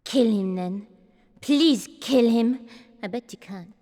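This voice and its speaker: A woman's voice: fairly high pitch, slow pace, warm tone, long reverb tail. An actress playing a morbid, spoiled young aristocratic girl in a TV drama, blunt, petulant and imperious. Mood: demanding. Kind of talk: TV drama acting.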